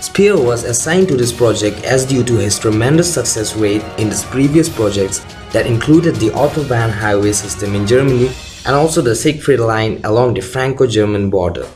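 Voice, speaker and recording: A man's voice: mid-range voice, animated, moderate pace, few pauses. A man reading scripted documentary narration as the host of a video. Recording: loud music under the voice. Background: loud background music.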